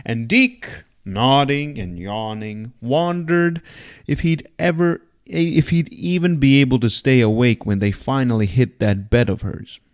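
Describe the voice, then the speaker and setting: A man reading fiction aloud in a dramatic, expressive audiobook style. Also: medium pitch, a little slow. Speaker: reader.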